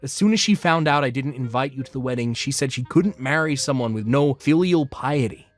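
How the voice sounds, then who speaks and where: Woman acting a whiny selfish grown son, swinging pitch. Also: expressive, fairly quick. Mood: smug, scheming. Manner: whiny, pleading, melodramatic. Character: selfish whiny adult son begging his mother for money. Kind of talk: manga dub voice acting. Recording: music behind the voice.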